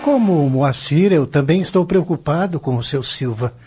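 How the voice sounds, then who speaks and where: A man's voice: fairly high pitch, lively intonation, moderate pace, warm tone, breathy edge, soft background music. A man playing a respectful, concerned young man in an audio drama, earnest and deferential. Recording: muffled lo-fi audio.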